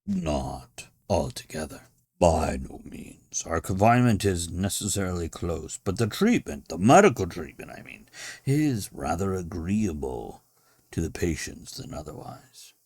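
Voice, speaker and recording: A man voicing a genial, pompous older gentleman who runs a madhouse, in a dramatic storybook reading with theatrical, self-assured delivery. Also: deep voice, sing-song, unhurried, breathy edge, dry recording, studio quality, full character voice. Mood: smooth, self-satisfied.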